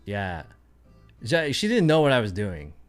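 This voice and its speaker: A man's voice: lowish voice, huge pitch swings, measured pace, faint music behind. A man chatting casually on his livestream, rambling and thinking aloud with lots of fillers. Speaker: streamer.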